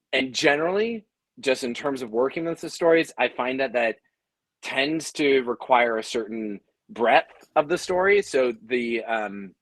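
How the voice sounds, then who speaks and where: A man's voice: medium pitch, fairly bright tone, no echo, rough recording. Thoughtful man with a dry, close sound. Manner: thoughtful, halting, repeats words as they think aloud. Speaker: audio drama creator, panelist. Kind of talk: conversational panel discussion.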